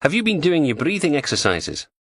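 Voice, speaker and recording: A man voicing a deadpan male psychologist with a flat Liverpool accent, talking in a measured way. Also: a little high, husky, no room sound, clean studio sound, pitched above the performer's own voice, heavily acted.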